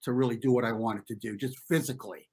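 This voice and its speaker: A middle-aged American man speaks as an interview guest in a medium-pitched voice. He tells his story conversationally and reflectively. His phrasing is informal and halting, and he often circles back to reword a thought. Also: fairly even intonation, a little mellow, slightly rough. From US, New York and New Jersey. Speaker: interview guest, former competitive swimmer.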